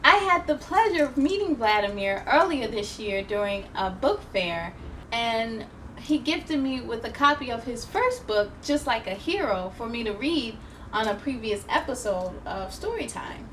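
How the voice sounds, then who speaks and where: Female children's story host, high voice, expressive and playful read-aloud delivery. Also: moderate pace, a little hiss or hum, rough recording. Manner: expressive, playful, addresses young listeners directly.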